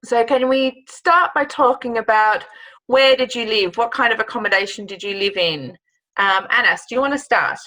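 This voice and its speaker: A woman hosting a live online Q&A over a video call, guiding the students with friendly, measured questions. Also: a little high, pushed and bright, poor audio. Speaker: host.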